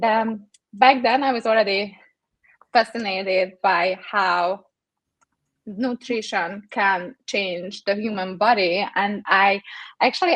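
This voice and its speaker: Young Hungarian-accented woman, native Hungarian, animated interview guest, dry close sound. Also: mid-range voice, no room sound, low-quality recording. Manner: animated, storytelling with fillers. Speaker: podcast guest. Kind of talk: interview storytelling.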